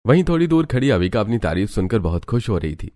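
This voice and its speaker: A man's voice: fairly low pitch, very animated, fast, dark tone. A man narrating a scripted cartoon family story.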